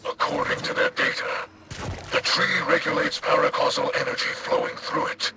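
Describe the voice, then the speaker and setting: Breathy, solemn alien captain. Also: male voice, a little high, flat delivery, very noisy. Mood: solemn, intent. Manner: formal, measured. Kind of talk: game dialogue. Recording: loud game music behind.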